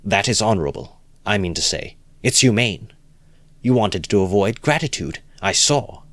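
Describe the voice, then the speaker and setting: A man voicing an earnest, excitable young male idealist who lectures in an eager, emphatic way during an audiobook reading. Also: lowish voice, moderate pace, no room sound, voice pushed up.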